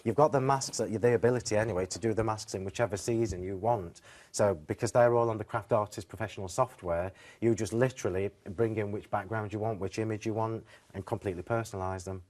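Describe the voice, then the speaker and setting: A man's voice: on the low side, steady tone, fast, a little mellow. A man, a keen and agreeable guest, chatting through a product demonstration on a shopping show.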